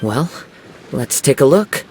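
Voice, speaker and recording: A man voicing a calm, perceptive young wandering swordsman in a video game, speaking in a measured, formal, contemplative way. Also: mid-range voice, slight background noise, low-quality recording. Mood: calm, focused. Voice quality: creaky. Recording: faint music behind the voice.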